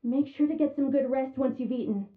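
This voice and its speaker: Supporting game role, female actor: concerned female shipmate, friendly, high-pitched, heard thin and muffled like a phone line. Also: brisk, lightly acted. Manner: solicitous, friendly. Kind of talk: video game voice acting.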